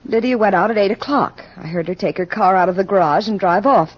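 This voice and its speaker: A woman's voice: mid-range voice, fairly quick, slightly rough, close to an everyday voice. An actress playing an uneasy, guarded young woman in a 1940s radio mystery, answering carefully and hesitantly. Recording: dull old radio recording.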